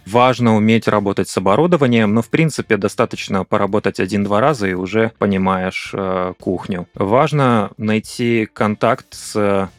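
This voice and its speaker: A man from Kazakhstan, a translator, answering interview questions in a calm, thoughtful way with frequent hesitations. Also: lowish voice, no room sound.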